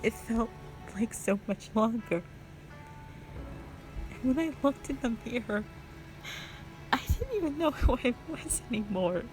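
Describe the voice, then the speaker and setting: A woman playing a traumatised, anguished young woman in an audio drama monologue, speaking haltingly and often hushed. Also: a little high, animated, rough recording. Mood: grieving, numb. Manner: halting, hushed, breaking into whispers. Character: traumatised young woman confessing a harrowing past, anguished, broken. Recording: music behind.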